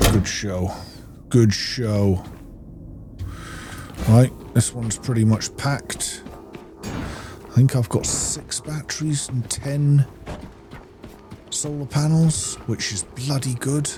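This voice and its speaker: A man's voice: medium pitch, takes it slowly, long pauses, music in the background. A man doing casual gameplay commentary, muttering and thinking aloud to himself. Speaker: gaming video host.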